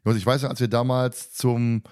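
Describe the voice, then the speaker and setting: A man's voice: mid-range voice, flat delivery, moderate pace, a little mellow, smooth. A man hosting a casual podcast discussion, recapping and commenting in a colloquial, teasing, joking way. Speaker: podcast host.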